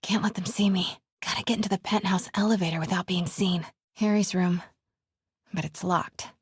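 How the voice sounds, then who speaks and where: A woman playing a wry, curious, quick-witted young woman in a video game, muttering to herself as she sneaks around, with a breathy voice. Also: on the low side, steady tone, no echo, studio quality. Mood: focused, stealthy. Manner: wry, hushed self-talk.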